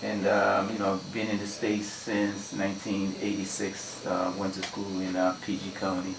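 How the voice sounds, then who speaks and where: A man's voice: deep voice, monotone, moderate pace. A man from Ghana, a guest in a radio studio, telling stories and using many "you know" fillers. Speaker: guest, community activist. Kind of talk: radio interview storytelling. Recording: roomy, distant mic with faint noise.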